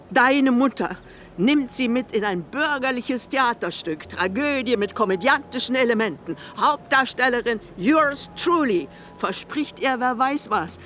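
A woman's voice: mid-range voice, fairly bright tone, a little hiss or hum, natural voice. Bitter, scolding old mother, played by an actress. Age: older. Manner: theatrical, reproachful, sarcastic. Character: sick, eccentric old mother, bitter, manipulative, scolding her son. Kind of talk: German radio play acting.

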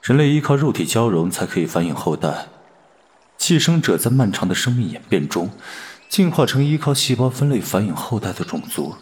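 A man playing a cool, fastidious alien parasite posing as a human in an audio drama, detached and disdainful. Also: lowish voice, sing-song. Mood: detached, annoyed. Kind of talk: audio drama dialogue and inner monologue.

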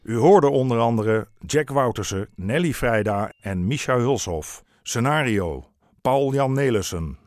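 A man's voice: medium pitch, lively intonation, unhurried, darkish tone, no room sound. Dry-recorded male radio credits announcer. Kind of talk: scripted broadcast announcement.

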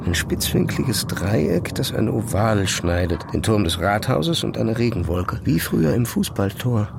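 An actor playing an obsessive, haunted young physicist, narrating his own story in an introspective, halting, intense way. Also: mid-range voice, vocal fry, background noise. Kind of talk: first-person narration in a literary audio drama.

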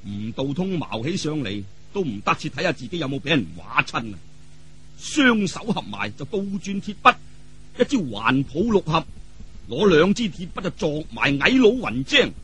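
Animated high-pitched Cantonese storyteller, a man. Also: moderate pace, slightly rough. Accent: Hong Kong or Guangdong Cantonese. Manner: theatrical, colloquial, with vivid exclamations and sound effects. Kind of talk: dramatic traditional Cantonese martial arts storytelling.

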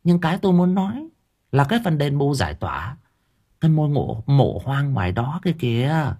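Man doing a high-pitched village wife, scheming, nagging, husky, lively swooping voice, audio storybook. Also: normal speed. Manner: nagging, conspiratorial, whispers and cajoles.

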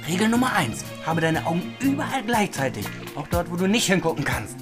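Bossy young older brother, animated delivery. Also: male voice, high voice, a little fast, music in the background. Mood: lecturing, tense. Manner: slangy, lecturing, condescending. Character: bossy, streetwise 22-year-old older brother, petty looter. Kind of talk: radio drama acting.

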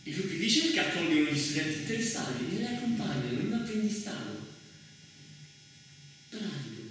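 A man's voice: fairly high pitch, sing-song, fairly quick, a bit bright. A man on stage playing a restless, idealistic student dreaming of revolt, hesitant and repeating himself with passion. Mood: dreamy. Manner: hesitant, repetitive, impassioned. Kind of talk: one-man theatre monologue acting. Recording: echoey hall from far off, faint noise.

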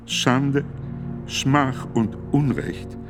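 An actor playing a solemn, authoritative medieval pope who formally declaims a decree in a measured, emphatic way for a radio play. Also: male voice, mid-range voice, animated. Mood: grave, measured. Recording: studio radio drama with music behind.

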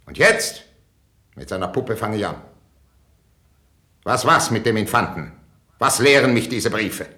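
A man's voice: fairly low pitch, lively intonation, a little slow, sharp tone. An actor playing a bitter, wounded ageing king in a classical 1950s radio drama, declamatory and imperious. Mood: furious, vengeful. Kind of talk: classical 1950s German stage-style radio acting.

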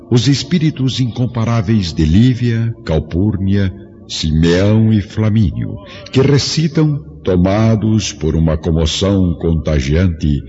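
A man's voice: deep voice, dark tone. A man with a Brazilian accent narrating a spiritual radio drama in a grave, exalted, grandiose manner. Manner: slow, grandiose. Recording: muffled radio drama under clear music.

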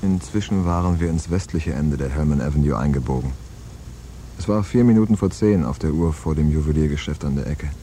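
An actor playing a laconic, world-weary hard-boiled man in a radio crime play, understated and deadpan. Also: rumbling low, fairly quick, slight background noise. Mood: deadpan, reflective. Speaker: narrator and lead character in a radio crime play. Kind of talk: scripted hard-boiled first-person narration and dialogue.

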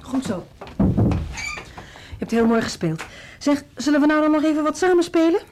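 A cheerful music teacher with a high, lively voice in a Dutch radio play. She coaxes and encourages as if talking to a child. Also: a little slow, heavy background noise. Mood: cheerful, encouraging. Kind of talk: Dutch radio drama acting.

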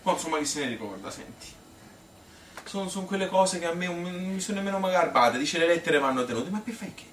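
A man playing a chatty old Tuscan grandmother who tells her life story in a colloquial, rambling, halting stage monologue. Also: high voice, lively intonation, a bit bright, voice pushed up. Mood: rambling, offhand. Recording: roomy, noisy, distant stage recording.

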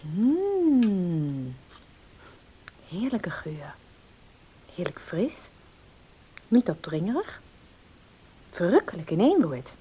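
An actress playing a refined, sensible young lady of the house, proper and gently hesitant, in a radio drama. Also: lowish voice, very animated, drawn-out words, long pauses, highs cut off, slight background noise, heavily acted. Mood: pleased, flustered. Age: young adult.

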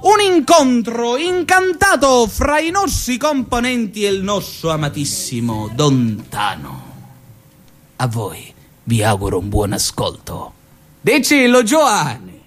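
A man with a Sicilian accent delivers a satirical monologue in a high voice, slow and drawn out. He lurches from mocking sing-song to sudden shouts. Faint music sits behind him. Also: very animated. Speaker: actor in a one-man radio show. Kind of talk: satirical theatrical monologue mimicking a radio host.

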